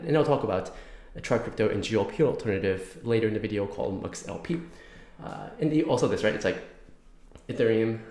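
A young man hosting a casual crypto market commentary, his voice raspy from a recent sickness, talking in a loose, rambling way full of fillers. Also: fairly low pitch, darkish tone, echoey room. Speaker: crypto channel host. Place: small room indoors.

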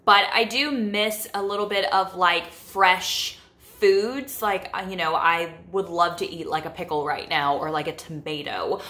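A woman's voice: fairly low pitch, measured pace, hardly any pauses, sharp tone. A young woman in her twenties chatting casually to camera in her home kitchen, with lots of fillers like "like" and "you know.". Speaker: vlogger. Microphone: camera in front of her at close range.

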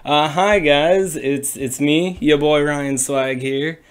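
A young man's raspy, hoarse voice, slightly higher than most men's. He talks in a loose, rambling way, full of "uh" and "you know" and restarts, like a streamer thinking aloud. It sounds picked up by a desk webcam in a small room. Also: fairly even intonation, takes it slowly. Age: young adult. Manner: rambling, many fillers and restarts. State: hoarse from a cold. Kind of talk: casual livestream explainer.

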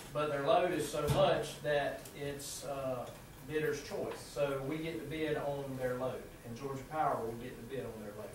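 A Southern American man walking a council through a proposal, stopping often on fillers and restarts. His voice sits at an ordinary pitch and rings around a small room, picked up from far away with a steady hiss behind it. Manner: halting, many fillers and restarts. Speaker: city utility official presenting to council. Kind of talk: presenting a proposal at a council meeting. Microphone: far room camera mic. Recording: echoey room from far off, background noise.

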